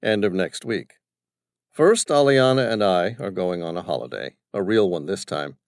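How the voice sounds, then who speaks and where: A grown son who answers his father with dry wit and bluntness, read by a male narrator. The voice stays near a plain male talking tone with lively inflection. It is recorded dry and close. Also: deep voice, moderate pace, dry recording. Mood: wry. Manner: wry, blunt.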